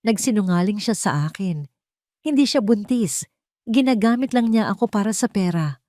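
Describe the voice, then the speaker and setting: A woman's voice: medium pitch, animated, normal speed, darkish tone, no room sound, pitched above the performer's own voice, close to an everyday voice. Bitter, lovelorn Filipino rich son for melodramatic audio story, read by a woman, dry sound. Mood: despairing. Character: bitter, heartbroken rich young man.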